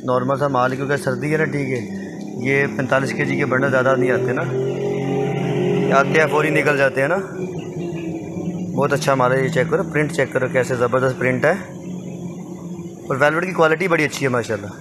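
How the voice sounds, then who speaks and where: A man's voice: mid-range voice, fairly even intonation, brisk, pauses often, heavy background noise. A young man from Pakistan, running a casual sales vlog in a small indoor clothing shop and repeatedly urging viewers to look at the goods and call. He records himself on a phone held close. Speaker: vlogger selling used clothing bundles.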